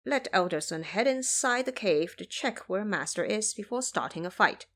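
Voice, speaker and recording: A woman's voice: medium pitch, lively intonation, normal speed, natural voice. A woman voicing a brash, cocky male monkey disciple in an audio drama, with a scolding, bossy and self-assured manner. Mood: confident.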